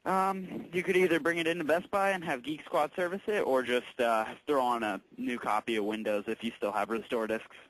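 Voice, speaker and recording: A man working as a tech support employee on a call, explaining things patiently in a halting, informal way. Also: medium pitch, fairly even intonation, fairly bright tone, dry recording, low-quality recording. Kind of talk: casual explanatory phone conversation.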